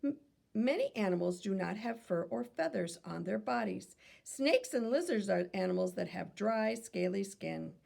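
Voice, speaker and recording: Woman, teacherly children's read-aloud, lively intonation, heavy vocal fry, instructive and questioning. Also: medium pitch, moderate pace. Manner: instructive, posing questions to young listeners.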